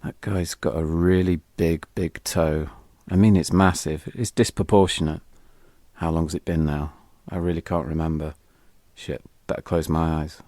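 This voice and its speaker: A man's voice: very deep voice, fairly even intonation, moderate pace, mellow, no room sound. A British man telling a scripted first-person story as an audio drama narrator, wry and confessional, with music behind him.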